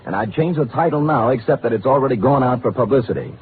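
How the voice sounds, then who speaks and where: An actor playing a breezy, wry, wordy, hard-drinking private detective in a 1940s radio drama. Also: male voice, mid-range voice, lively intonation, quick pace, narrow, tinny audio, natural voice. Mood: wry, brisk. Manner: wry, glib, theatrically wordy. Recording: thin band-limited old radio recording, faint noise.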